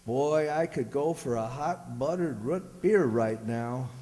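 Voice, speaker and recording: A man's voice: medium pitch, slow, mellow, in a large hall, close to an everyday voice. A man playing a grouchy, folksy, exasperated husband shoveling snow in an old-time radio comedy. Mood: grumbling.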